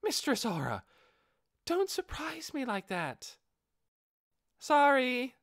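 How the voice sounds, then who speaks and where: A man voicing a young dark elf girl in an audiobook, an eager, loyal beast tamer who speaks perkily and with deference to her master. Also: very high voice, wildly swooping pitch, takes it slowly, no echo, studio quality, pitched above the performer's own voice, full character voice. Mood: playful. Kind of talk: audiobook character voice.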